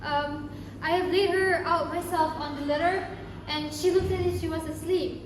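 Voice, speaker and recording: Woman acting very high-voiced fretful girl, echoey. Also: steady tone, moderate pace. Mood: fretful, sad. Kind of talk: amateur student stage play performance. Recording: echoey room, faint noise.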